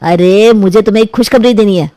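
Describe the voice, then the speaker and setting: A woman's voice: medium pitch, a little fast, warm tone, no room sound, lightly acted. A woman voicing an earnest young man eager to marry, coaxing and reassuring in a storybook tale. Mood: happy, eager. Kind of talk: animated storybook dialogue.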